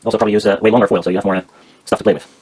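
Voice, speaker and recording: A man casually narrating a hands-on DIY build, haltingly thinking aloud as he works. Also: lowish voice, little pitch movement, a little mellow. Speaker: DIY maker demonstrating a build.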